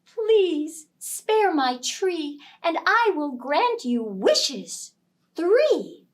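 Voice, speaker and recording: A woman voicing a magical tree spirit in a storybook tale, imploring in drawn-out phrases. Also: extremely high pitch, sing-song, far from the mic, pitched above the performer's own voice, exaggerated voice. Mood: pleading. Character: pleading magical tree spirit granting wishes.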